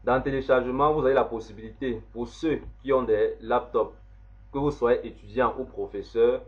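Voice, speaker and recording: A man, slowly walking through a software setup step by step as an instructor. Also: medium pitch, fairly even intonation. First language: French. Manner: slow, step-by-step explaining. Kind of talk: screen-recorded software tutorial. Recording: dull lo-fi voice recording.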